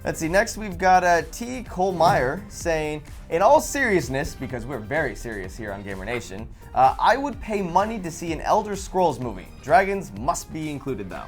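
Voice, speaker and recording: Lively low-voiced young woman, panel chat. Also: normal speed, hardly any pauses, soft background music. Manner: lively, reads viewer comments. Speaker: show co-host.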